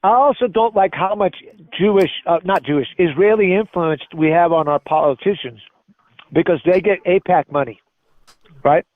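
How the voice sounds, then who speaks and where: A man's voice: high-pitched, normal speed, phone-quality sound. A middle-aged or older man from Spain talking politics on a call, insistent and repeating himself for emphasis. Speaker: caller. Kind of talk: opinionated political conversation. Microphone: phone or voice call.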